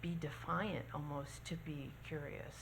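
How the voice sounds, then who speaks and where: A woman's voice: sits low, fairly even intonation, measured pace, creaky, slight background noise, rough recording. A woman in the audience builds up a question in a searching, hesitant way. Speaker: audience member.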